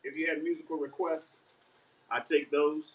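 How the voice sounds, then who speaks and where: A man's voice: fairly high pitch, little pitch movement, moderate pace, a little hiss or hum. Chatty man in an echoey kitchen. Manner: chatty, laughs often, breaks into playful singing. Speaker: guest live streamer and singer. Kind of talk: casual live-stream chat while cooking. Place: home kitchen. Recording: echoey room, voice far from mic.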